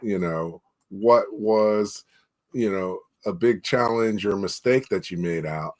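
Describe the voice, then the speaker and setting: A man's voice: on the low side, slow pace. A man hosting an online interview, affirming the guest and putting questions to her with easy fillers. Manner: relaxed, affirming, many fillers. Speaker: interview host.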